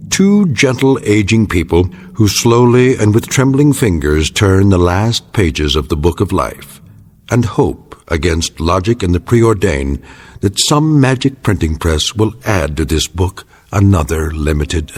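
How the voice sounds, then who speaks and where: A grave, ominous story narrator. His low voice is measured and portentous, moving widely in pitch over faint music. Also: big pitch swings, darkish tone. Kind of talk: dramatic scripted narration.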